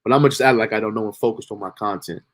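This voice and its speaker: A man's voice: on the low side, racing. A young man hosting a livestream, talking loosely in slang and swearing as he gives himself a pep talk. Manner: loose, slangy, motivational self-talk with profanity. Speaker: livestream host. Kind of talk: casual livestream monologue.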